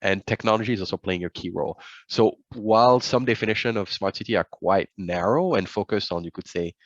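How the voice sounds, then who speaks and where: A man presenting a report over a webinar, speaking haltingly with many fillers and restarts, on dull video call audio. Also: lowish voice, no room sound.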